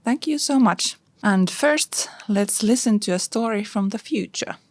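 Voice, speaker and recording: A woman's voice: mid-range voice, lively intonation, unhurried, darkish tone, no echo, studio quality. A woman hosting a podcast and introducing her guests. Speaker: podcast host.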